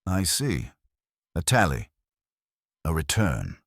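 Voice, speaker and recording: Man acting a young male schemer, low voice, lively pitch swings, clipped, sardonic, theatrical, detective audiobook. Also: slow pace, creaky, no room sound, clean studio sound, exaggerated voice. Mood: grudging, defiant. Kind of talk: audiobook detective story dialogue.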